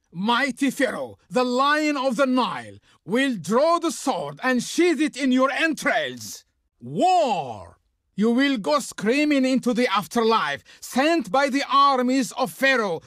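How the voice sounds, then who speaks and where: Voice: mid-range voice, a bit bright, no room sound, clean studio sound. An actor playing a haughty, grandiose Egyptian diplomat in a video game, speaking in a pompous, formal, declamatory way. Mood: wrathful threatening. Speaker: game character, faction envoy. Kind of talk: theatrical video game voice acting.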